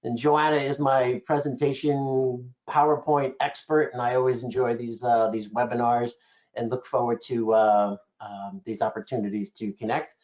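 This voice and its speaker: A man's voice: medium pitch, fairly even intonation, moderate pace, darkish tone, muffled recording. Casual man hosting a webinar. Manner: casual, many fillers. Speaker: webinar host.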